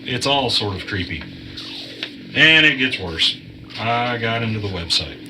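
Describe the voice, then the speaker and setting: A man's voice: mid-range voice, lively intonation, unhurried, noisy background, natural voice. A man playing a weary young gamer in an audio drama, telling and living a mystery in a plain-spoken, understated way. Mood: uneasy. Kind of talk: audio drama narration and dialogue.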